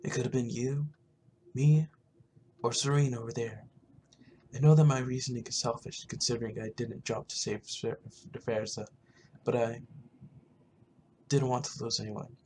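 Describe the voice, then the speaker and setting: A man's voice: mid-range voice, fairly even intonation, normal speed, long pauses, darkish tone, slight background noise, natural voice. A man voicing a cautious, earnest young male adventurer in a game roleplay, speaking hesitantly. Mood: regretful, earnest.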